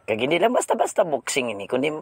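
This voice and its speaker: A man's voice: medium pitch, wildly swooping pitch, fast, vocal fry, slightly rough, dry recording, exaggerated voice. A man playing a flamboyant, scheming, theatrical madam who cackles and teases in an audio drama. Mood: teasing, gloating. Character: flamboyant scheming villainess madam arranging fights.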